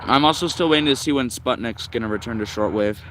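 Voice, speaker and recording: A man's voice: mid-range voice, fast talker, fairly bright tone, slight background noise. A man giving casual, unscripted hobbyist commentary as a livestream host, rambling and thinking aloud. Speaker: shortwave radio livestream host.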